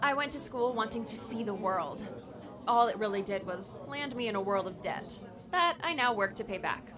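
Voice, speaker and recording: A woman's voice: fairly high pitch, expressive, normal speed, a crowd in the background, natural voice. A woman playing a polite, self-effacing young would-be journalist, speaking candidly in an audiobook drama. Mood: wistful, rueful.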